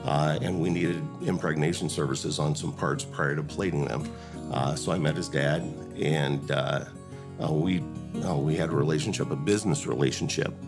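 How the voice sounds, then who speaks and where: An American man telling a business story in a casual interview, haltingly, often repeating his words. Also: rumbling low, fairly even intonation. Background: guitar music.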